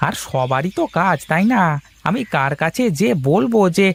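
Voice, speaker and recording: A man's voice: high-pitched, very animated, moderate pace, gravelly, breathy edge, heavily acted. A man playing a wheedling village farmer who pleads for help, in a cartoon. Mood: worried. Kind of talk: Bengali moral cartoon dubbing.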